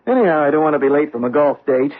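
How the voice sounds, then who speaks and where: An actor playing an affable, unsuspecting husband in a radio drama, easygoing and casually hesitant. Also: male voice, on the high side, lively intonation, racing. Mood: breezy. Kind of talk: old-time radio drama acting. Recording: tinny, band-limited vintage radio broadcast.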